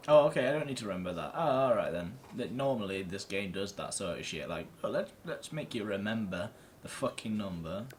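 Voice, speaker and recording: A man's voice: lowish voice, animated, a little fast, slight background noise. A man giving casual let's play commentary, muttering sweary reactions as he plays. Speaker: gaming video host.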